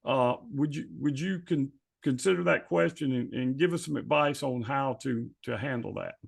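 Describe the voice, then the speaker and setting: A man speaking as a podcast host in a conversational, deliberate way, repeating phrases for emphasis. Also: mid-range voice, creaky, husky.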